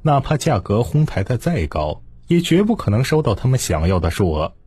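A man's voice: medium pitch, sing-song, moderate pace, a little mellow, husky, dry recording. A man voicing a smug, unflappable young prince with a nonchalant, self-assured manner in an audiobook. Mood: smug calm.

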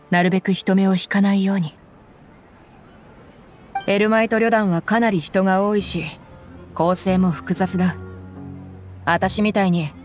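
A woman voicing a tough young mercenary who talks in a rough, casual way in a game cutscene. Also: mid-range voice, lightly acted. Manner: rough, casual, gruff slang. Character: tough young female mercenary, blunt, easygoing. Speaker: game character.

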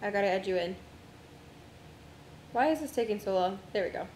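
A young woman streamer chatting casually with friends while setting up a game, her voice going hoarse from a long day of talking. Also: medium pitch, expressive, brisk, darkish tone, echoey room, slight background noise, poor audio. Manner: distracted, talks to herself while navigating menus.

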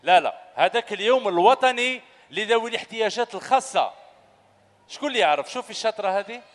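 A man's voice: high-pitched, quick pace. A man in his thirties with an Algerian Arabic accent, hosting a children's show and quizzing children on stage with a handheld mic in an echoing sports hall. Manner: animated, coaxing, asks children questions. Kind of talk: lively quiz with children on stage. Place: large indoor sports hall full of children. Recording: echoey hall, loud crowd.

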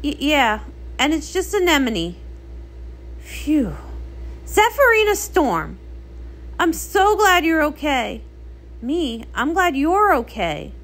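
High, animated woman reading a comic. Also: slow pace, pauses often. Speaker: voice performer. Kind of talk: acted comic read-along with character voices.

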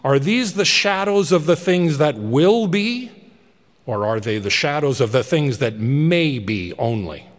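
A man's voice: fairly high pitch, animated, measured pace, pitched above the performer's own voice. A man voicing an old repentant miser, speaking in an impassioned, exclamatory way. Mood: pleading. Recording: long reverberant hall.

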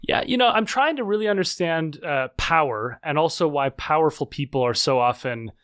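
A man's voice: a little high, lively intonation, moderate pace, a bit bright, clean tone, no room sound. Man, academic guest, earnest, articulate, dry close recording. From United States. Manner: articulate, earnest, frequent "uh" and "you know". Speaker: author and political scientist guest. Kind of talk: interview answers.

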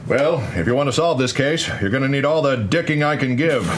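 A man playing a cocky hardboiled private detective in an old-time radio play, with a smug, deadpan delivery. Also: mid-range voice, a little fast, a little hiss or hum, natural voice. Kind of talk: 1940s radio acting. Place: studio set at a table.

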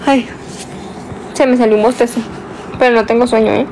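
A woman's voice: on the high side, fairly even intonation, moderate pace, darkish tone, a little hiss or hum, rough recording. A nineteen-year-old woman, chatting casually and teasingly on a phone livestream from her bedroom at night, drawing out some words. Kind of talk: casual livestream chat, reading viewer comments. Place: small dim bedroom, indoors at night. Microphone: phone held close, selfie framing.

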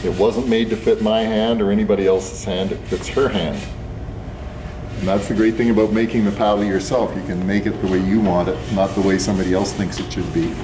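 An older man, a craft teacher, explaining and appraising in deliberate, separated phrases. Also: lowish voice, steady tone. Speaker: paddle-carving teacher. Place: wooden workshop indoors. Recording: noisy workshop.

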